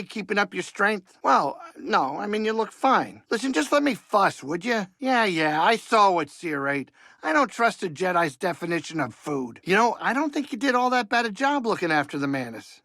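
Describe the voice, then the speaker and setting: A fussy middle-aged alien starship pilot from a video game. His voice sits a bit high for a male, bright and full of gravel, recorded dry and close. He grumbles and frets in a folksy, good-hearted way. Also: no echo, exaggerated voice. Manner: folksy, fretful, grumbling, chatty. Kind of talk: video game character acting.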